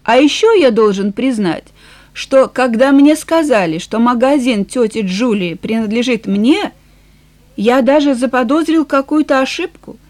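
A woman voicing a weary, frank male farmer nearing sixty in an audiobook, plain-spoken and measured. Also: fairly high pitch, a little mellow, lightly acted. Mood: weary, calm. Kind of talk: audiobook character dialogue.

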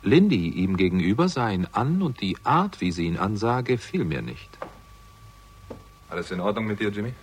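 An actor playing a sardonic, hot-tempered hard-boiled amateur sleuth in a 1960s German radio crime drama, with dry, biting delivery. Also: male voice, on the low side, very animated, moderate pace, breathy edge, full character voice. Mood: brooding, narrating, then dry, guarded. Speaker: lead actor and first-person narrator.

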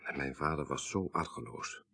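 An actor playing a grave, troubled son in a radio drama, speaking in a formal, measured, articulate way. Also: male voice, extremely low pitch, creaky, bright, forceful tone, breathy edge, exaggerated voice. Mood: subdued, troubled. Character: grave, troubled son recounting his dead father's story.

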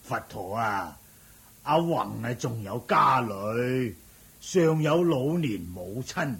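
A man's voice: medium pitch, expressive, slow, creaky, a bit bright, slightly rough, exaggerated voice. A man playing a fussy, well-meaning old villager in a radio drama, stammering and sighing, with a Hong Kong Cantonese accent. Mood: alarmed, pleading.

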